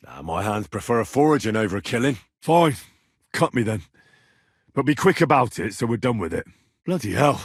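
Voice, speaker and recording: A man's voice: mid-range voice, sing-song, moderate pace, husky, dry recording, clean studio sound. A British man acting a plain-spoken young medieval servant and adventurer in a video game, earnest and conversational. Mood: frank, down-to-earth. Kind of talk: scripted game character dialogue.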